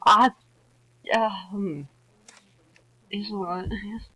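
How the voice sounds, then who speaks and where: A woman's voice: mid-range voice, fairly even intonation, slow, sharp tone, breathy edge, low-quality recording. A woman chatting casually and self-deprecatingly with friends over an online voice call.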